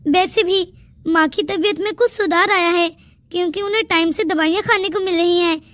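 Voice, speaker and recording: A woman's voice: very high-pitched, steady tone, a little fast, fairly bright tone, no room sound. A woman voicing a poor, plaintive young village woman in an emotional cartoon moral story. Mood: plaintive, resigned. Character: poor, tearful but willing young village woman, Payal. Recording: music behind the dialogue.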